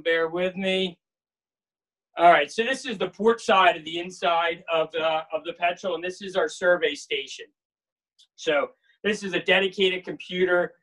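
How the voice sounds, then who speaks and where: A man in his forties guiding a live walking tour of a research boat and dock, talking casually with many pauses and fillers, filmed on a handheld phone. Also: fairly high pitch, fairly bright tone, no room sound, rough recording. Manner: casual, hesitant with frequent uh fillers. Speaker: marine field station staff guide. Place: aboard a workboat and on a dock outdoors. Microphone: phone camera held at arm's length.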